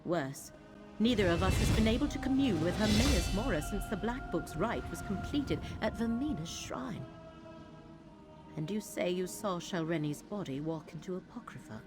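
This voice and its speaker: Grave, low-voiced woman mystic played by an actress. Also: close to an everyday voice. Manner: measured, solemn, formal. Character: grave, troubled woman mystic serving an eldritch fate god. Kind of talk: fantasy video game dialogue.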